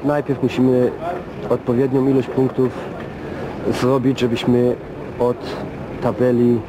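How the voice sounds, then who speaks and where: Flat-voiced man, halting, traffic noise. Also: mid-range voice. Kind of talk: interview.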